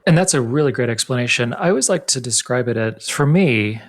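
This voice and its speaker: A man hosting an interview podcast, talking in a lively way, jumping up in pitch to stress points. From United States. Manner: animated, pitch jumps up to stress points, quick asides. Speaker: podcast host. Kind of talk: casual interview.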